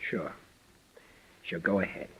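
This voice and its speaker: A man's voice: slow pace, a bit bright. An actor playing a cocky, menacing gunman in a 1940s radio crime drama, with slangy, taunting tough-guy delivery. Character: cocky, menacing gunman and schemer, adult man. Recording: muffled vintage radio recording.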